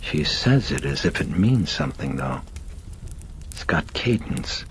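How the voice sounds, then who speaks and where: Man doing a low whispery puzzled doctor, deep and hushed. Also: sing-song, measured pace, a little hiss or hum, exaggerated voice. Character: puzzled, uneasy male neurologist.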